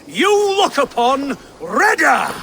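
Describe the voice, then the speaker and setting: A man playing an excitable, fawning merchant's apprentice in a video game, with theatrical sales patter. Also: very high-pitched, takes it slowly, vocal fry, a little hiss or hum, heavily acted. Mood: reverent, proud. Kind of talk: video game character acting.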